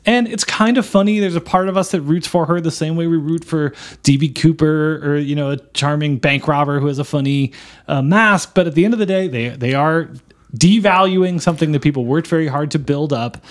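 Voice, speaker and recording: A man's voice: a little high, a little fast. A man co-hosting a podcast, arguing his side in a mock-serious, argumentative manner. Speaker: podcast co-host. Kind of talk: casual debate chat.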